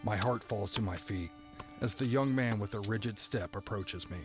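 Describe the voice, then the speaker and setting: Man acting a somber, lowish-voiced condemned prisoner narrating. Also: loud music behind the voice, lightly acted. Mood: somber, resigned. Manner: somber, deliberate storytelling. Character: condemned male prisoner narrating, stoic, resigned, poetic. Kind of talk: scripted first-person dramatic narration.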